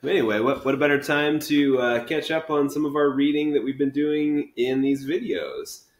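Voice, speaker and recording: A man's voice: medium pitch, moderate pace. A man in his thirties reading a children's book aloud in a casual style, recorded in a living room. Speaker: reader, teacher. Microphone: camera a few feet away. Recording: roomy living-room echo.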